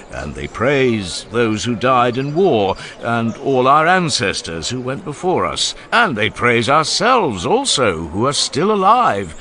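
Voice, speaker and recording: Socrates as an older, ironic philosopher in an audiobook drama, his voice very low yet bright, rising and falling widely as he teases. The delivery is expansive and mocking in a gentle way. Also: slight background noise, heavily acted. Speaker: voice actor.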